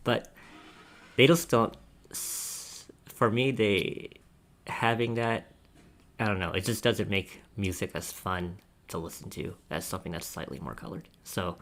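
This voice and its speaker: A man with a low voice talks in a casual roundtable discussion. He speaks as a reviewer on the panel and often chuckles between his points. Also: a little slow, fairly bright tone. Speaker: audio gear reviewer and podcast panelist.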